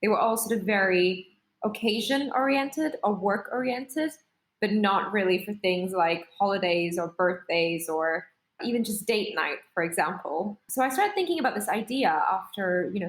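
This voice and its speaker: A woman from Singapore, a startup founder, telling her story in a measured, articulate way in a podcast interview. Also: medium pitch, animated, echoey room, low-quality recording.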